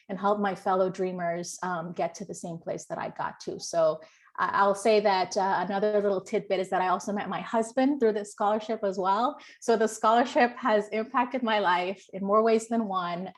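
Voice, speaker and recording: Earnest woman in her 30s from guyana, grateful story, talking over a video call. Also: mid-range voice. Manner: earnest, grateful, many um fillers. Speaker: communications manager, former scholar. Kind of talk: personal testimony on a video call.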